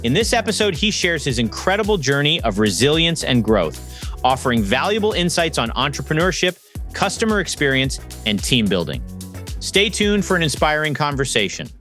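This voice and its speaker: A man's voice: fairly high pitch, big pitch swings, normal speed, a bit bright, faint music behind. A man hosting a podcast, introducing and interviewing in a conversational presenter style. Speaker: podcast host.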